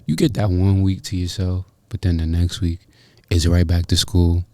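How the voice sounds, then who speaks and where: A young man co-hosting a podcast in casual, slangy, animated chat. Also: low-pitched, moderate pace, no echo. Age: young adult. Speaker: podcast co-host.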